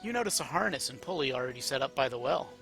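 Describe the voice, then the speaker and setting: A man's voice: mid-range voice, lively intonation, fast, vocal fry, a bit bright, soft background music. A man reading a second-person story aloud as an amateur narrator.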